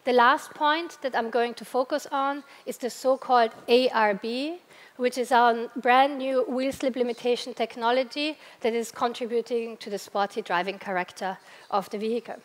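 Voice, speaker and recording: A woman's voice: on the high side, fairly even intonation, moderate pace. A woman from Austria with an Austrian accent giving a product presentation at a press launch, explaining step by step with occasional hesitations and restarts. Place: large event hall at a press launch. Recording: long reverb of a large hall.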